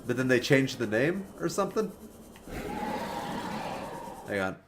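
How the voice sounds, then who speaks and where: A man in his twenties chatting casually with viewers while livestreaming a video game, joking and animated. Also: mid-range voice, measured pace, background noise. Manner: animated, joking, talks to chat by name. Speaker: game streamer.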